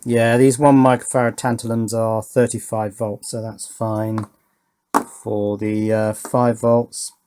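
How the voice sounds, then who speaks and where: A man's voice: on the low side, little pitch movement, measured pace, dark tone, smooth. A man giving casual, understated hands-on commentary as he works on a hobby electronics build, often thinking aloud and hesitating. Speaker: hobby electronics video maker.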